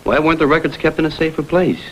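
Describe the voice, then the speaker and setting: An actor playing a calm, probing private investigator in an old crime drama, speaking in a brisk, businesslike way. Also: male voice, a little high, lightly acted, low-quality recording. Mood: firm, urgent. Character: calm, probing male private investigator, persistent and level-headed. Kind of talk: vintage American television crime drama acting. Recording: hissy vintage film soundtrack.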